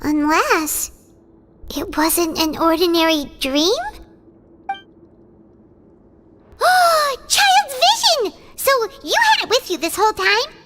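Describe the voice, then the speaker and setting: Squeaky little fairy girl from a game, super high and swoopy, bubbly third-person chatter. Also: very animated, slow, exaggerated voice. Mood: excited. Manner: talks about herself in third person, bubbly. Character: tiny chirpy fairy-like girl companion. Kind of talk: video game voice acting. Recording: game audio with loud background noise.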